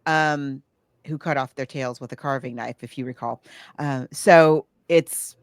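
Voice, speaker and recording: A woman chatting casually about books and films, animated and halting as she searches for details while recounting. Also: rumbling low, dry recording, clean studio sound. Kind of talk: casual book and film discussion.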